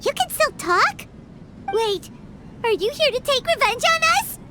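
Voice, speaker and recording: A woman voicing a tiny, excitable female fairy companion who blurts out quick questions in a game. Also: very high voice, a little hiss or hum, exaggerated voice. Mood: startled.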